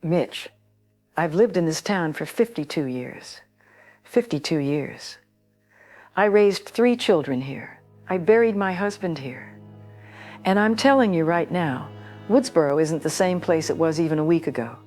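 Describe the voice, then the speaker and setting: An older woman caller, who talks in a grave, insistent way about her fears. Her voice sits a little low. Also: animated, measured pace, darkish tone, soft background music. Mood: worried. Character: worried older woman radio caller, long-time local. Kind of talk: audio drama acting.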